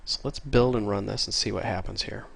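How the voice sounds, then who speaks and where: Congested, gravelly-voiced man teaching code. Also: lowish voice, a little fast, slight background noise. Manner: casual, easily excited, chatty. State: congested from a cold. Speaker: programming tutorial host. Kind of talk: screen-recorded coding tutorial. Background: faint keyboard typing.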